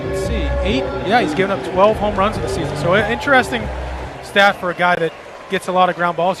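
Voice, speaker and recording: Guy on baseball radio chatting thru pitcher stats, slightly high voice, music behind. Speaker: baseball color analyst. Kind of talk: conversational live sports analysis. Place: ballpark broadcast booth.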